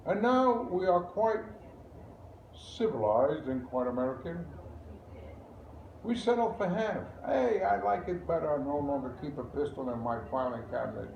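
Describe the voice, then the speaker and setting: A man playing a wry, reflective Italian-born immigrant lawyer in a theatrical, musing stage monologue with deliberate pauses. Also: a little high, lively intonation, gravelly. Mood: wry, resigned. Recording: muffled, echoey room with faint noise.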